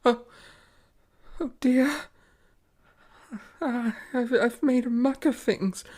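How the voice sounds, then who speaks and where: A woman playing an awkward, sickly young gentleman who stammers nervously with a stuffed-up nose, in an audio drama. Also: on the high side, takes it slowly. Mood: flustered, anxious. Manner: stammering, flustered, sniffly.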